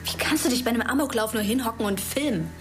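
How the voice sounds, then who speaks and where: Woman acting an edgy, high-voiced teenage schoolgirl. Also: quick pace, over music, natural voice. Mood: accusing. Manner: snappish, insistent. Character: edgy, defensive teenage schoolgirl under stress. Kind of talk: naturalistic radio drama acting.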